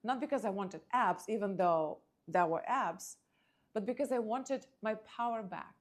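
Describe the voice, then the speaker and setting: Ukrainian-accented woman giving a motivational talk. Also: medium pitch. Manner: emphatic short phrases with dramatic pauses. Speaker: podcast host and motivational speaker. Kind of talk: motivational monologue.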